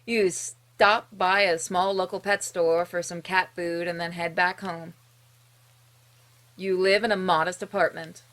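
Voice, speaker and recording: A woman with a fairly low voice narrates a game as she plays it. She reads the text like a story, expressively, and slips into casual, dryly funny asides. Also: fairly even intonation, measured pace, a bit bright. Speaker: streamer playing and narrating a game. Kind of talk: reading visual novel narration aloud with casual asides.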